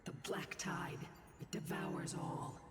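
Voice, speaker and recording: An actor playing a cryptic, ominous narrator who passes judgment on humanity in a formal, portentous game voice. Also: male voice, medium pitch, slow pace, very noisy, close to an everyday voice. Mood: ominous, solemn. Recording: distant, echoing game audio over music.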